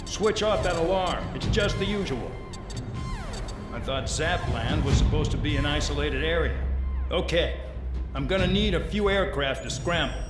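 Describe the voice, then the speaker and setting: An actor playing a brash, cynical commander of a military penal base, briefing in a blunt, contemptuous, commanding manner. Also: male voice, a little high, expressive, normal speed, lightly acted. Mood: dismissive, commanding. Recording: echoey room, music behind.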